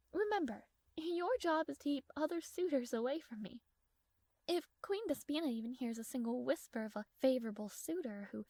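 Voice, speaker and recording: A high, girlish voice for a tsundere princess played by a woman, recorded dry and close to the ear. She is haughty and formal, guarded but fond, and easily flustered as she addresses the listener in a romantic audio roleplay. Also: darkish tone, no echo.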